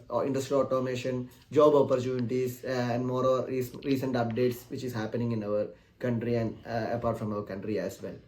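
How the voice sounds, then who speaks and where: A man's voice: mid-range voice, darkish tone, rough recording. A man, a channel host talking directly to his viewers in an earnest, encouraging way with many "uh" fillers. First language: Malayalam. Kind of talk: casual direct-to-camera talk.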